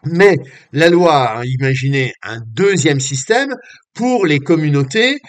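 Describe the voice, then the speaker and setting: A man's voice: fairly high pitch, animated, unhurried. A man in his sixties with an accent from France, giving detailed, formal explanations as an invited expert on a video call. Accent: French from France. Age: 60s. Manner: methodical, formal, long careful sentences. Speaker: invited expert, legal specialist. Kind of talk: detailed explanatory interview answers. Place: small home room, on a video call.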